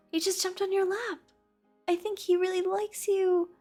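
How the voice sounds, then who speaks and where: A woman acting an affectionate, playful young girlfriend in an intimate audio roleplay, speaking softly and chattily. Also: very high voice, little pitch movement, unhurried, soft background music. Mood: fond, amused. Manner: soft, tender, chatty with teasing asides.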